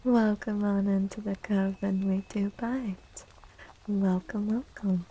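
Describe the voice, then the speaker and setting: Woman, ASMR streamer, hushed and breathy, dark mellow tone, even intonation, gentle soothing delivery. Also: mid-range voice, takes it slowly, a little hiss or hum, low-quality recording. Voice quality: soft, breathy, often slipping into whisper. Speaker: livestream host and tarot reader. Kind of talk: soft-spoken ASMR livestream, chatting and reading tarot.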